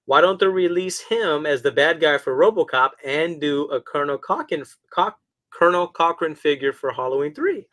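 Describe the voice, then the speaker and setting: A man's voice: a little high, lively intonation, normal speed, a bit bright. Laid-back man co-hosting a podcast. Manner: laid-back, agreeable, cursing. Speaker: podcast co-host. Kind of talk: casual chat.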